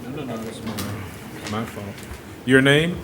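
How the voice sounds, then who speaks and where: A man presiding as judge at a bond hearing, speaking firmly and repeating his questions until he gets a clear answer. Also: mid-range voice, takes it slowly, very noisy.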